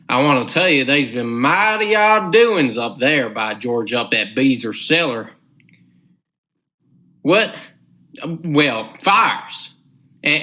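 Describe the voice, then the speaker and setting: A man's voice: mid-range voice, pushed and bright. An actor playing a superstitious, garrulous old man with a rambling rustic drawl in a radio drama. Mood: ominous gossip. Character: folksy superstitious old male storyteller, garrulous and emphatic.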